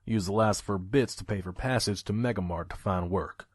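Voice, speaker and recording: A man playing a weary, guarded pony trader in an audio drama, with a gruff, dry, terse way of talking. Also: fairly low pitch, fairly quick, no room sound. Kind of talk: audio drama narration and dialogue.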